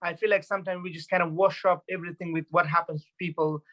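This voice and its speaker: A man from Afghanistan in his thirties shares his thoughts as a guest speaker. He talks fast and earnestly, often restarting sentences and dropping in fillers. His voice sits a little high for a man. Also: flat delivery, pushed and bright, muffled recording. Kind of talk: personal talk at an online event.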